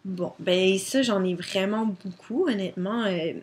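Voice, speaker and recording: A woman's voice: medium pitch, measured pace. A young woman with a Québécois French accent chatting casually to her webcam, answering questions with many fillers. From Quebec. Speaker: vlogger.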